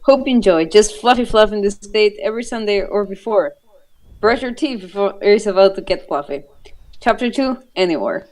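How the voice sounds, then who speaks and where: A woman's voice: mid-range voice, moderate pace, darkish tone. A giggly woman reading fan fiction aloud with casual joking commentary, stumbling over words and swearing freely. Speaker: co-host reading fanfiction.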